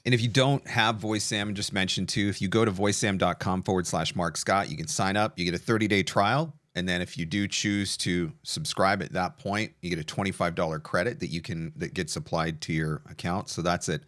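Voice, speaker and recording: A man's voice: low-pitched, fairly even intonation, brisk, few pauses, fairly bright tone, dry recording, studio quality. A man hosting an online session in a conversational style, guiding a guest and explaining points to viewers. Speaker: session host and voice actor.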